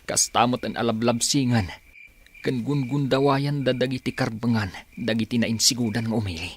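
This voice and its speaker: A man's voice: medium pitch, fairly even intonation, normal speed, a little hiss or hum, close to an everyday voice. A man playing an earnest, stubborn rebel fighter in an Ilocano radio drama. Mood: resolute.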